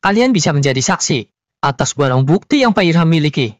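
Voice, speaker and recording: A man's voice: fairly high pitch, sing-song, a little fast, no echo. A man voicing a stern undercover police investigator in a cartoon, speaking formally and firmly. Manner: formal, firm. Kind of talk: Indonesian cartoon dialogue, formal. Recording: music behind the voice.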